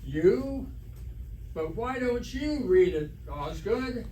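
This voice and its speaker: A man's voice: high voice, lively intonation, takes it slowly, mellow. A man playing a formal, easily offended education official in an old-time radio comedy performed on stage. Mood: puzzled. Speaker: amateur stage actor. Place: live stage performance in a hall. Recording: echoey hall, distant mic, faint noise.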